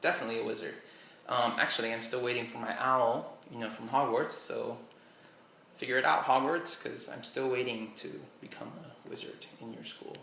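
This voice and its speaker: Bright-voiced young man with slight Miami accent. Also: mid-range voice, steady tone, normal speed, creaky, roomy sound, slight background noise, poor audio. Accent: slight Miami English. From Miami, USA. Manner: rambling, trails off with "I don't know". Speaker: vlogger. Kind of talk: casual vlog chat, short read-aloud passage.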